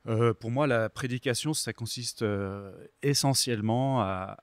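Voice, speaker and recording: A middle-aged man from France, a pastor, explaining his views as a podcast guest, thoughtful and halting with many fillers. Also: mid-range voice, no room sound.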